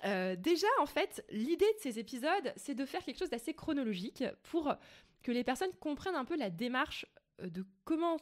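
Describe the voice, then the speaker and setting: A woman's voice: high voice, very animated, moderate pace. A woman hosting a podcast, introducing her guest and asking questions in a friendly, upbeat way. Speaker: podcast host.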